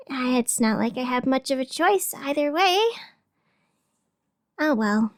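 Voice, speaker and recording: A woman's voice: high voice, full character voice. A woman playing an earnest, flustered radio host in a scripted audio drama, chatty and a little hesitant as she bravely soldiers on. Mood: anxious, self-reassuring. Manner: hesitant, self-reassuring, chatty. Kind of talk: scripted fiction podcast in the form of a community radio broadcast. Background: music under the voice.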